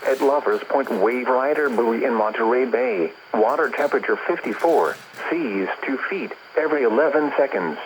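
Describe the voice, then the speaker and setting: A synthetic man's voice with an American accent reads a scripted weather bulletin as a formal, measured automated radio announcer. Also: medium pitch. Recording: noisy weather radio broadcast.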